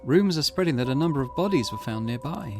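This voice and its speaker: A man reading the part of a calm, serious, cautious teenage schoolgirl in a visual novel, measured and matter-of-fact. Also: fairly high pitch, music in the background, natural voice. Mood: grave, composed.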